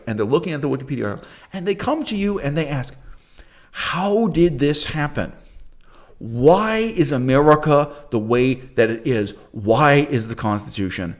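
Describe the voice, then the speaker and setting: Male host, opinionated lecture to camera, animated pitch, mid-range voice. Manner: emphatic, argumentative, swings pitch for stress. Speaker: channel host. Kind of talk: opinionated solo video lecture.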